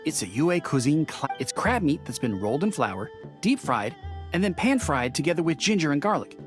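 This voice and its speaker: A woman voicing a mature, gracious innkeeper who talks kindly and laughs softly. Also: deep voice, big pitch swings, moderate pace, over music.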